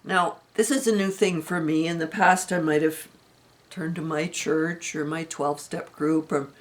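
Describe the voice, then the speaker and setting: A woman in her seventies giving a chatty, rambling health update from home, her voice going hoarse. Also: low-pitched, fairly even intonation, unhurried. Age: 70s. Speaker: vlogger. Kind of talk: casual personal health update.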